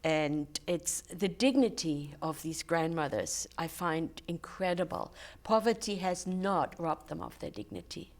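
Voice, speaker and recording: An older woman whose first language is German, chatting in an interview with frequent fillers and restarts. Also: fairly low pitch. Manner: warm, halting, many fillers and restarts. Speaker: guest, charity volunteer. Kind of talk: casual interview chat. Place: local TV studio.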